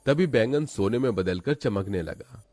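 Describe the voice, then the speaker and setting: A man's voice: fairly low pitch, animated, normal speed, darkish tone, dry recording. A man narrating a scripted cartoon folk tale as storyteller.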